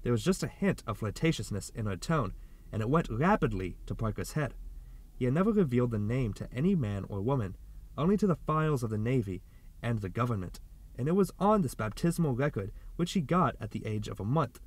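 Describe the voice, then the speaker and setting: A man's voice: mid-range voice, sing-song, fairly quick. A man narrating a short story as a scripted audiobook reading.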